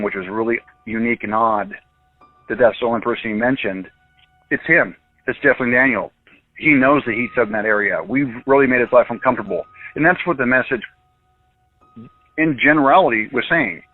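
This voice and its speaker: A man working as a private investigator speaks haltingly in a recorded phone interview, often stopping and restarting his sentences. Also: mid-range voice, dry recording. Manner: halting, with many false starts and restarts. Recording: tinny, dry phone line.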